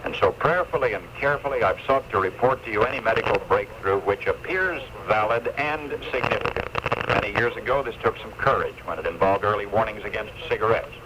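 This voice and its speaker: An older man giving an earnest, deliberate, folksy commentary as a radio newsman of the 1970s. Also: on the low side. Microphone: radio played through headphones into cassette recorder. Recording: noisy lo-fi 1976 AM radio recording.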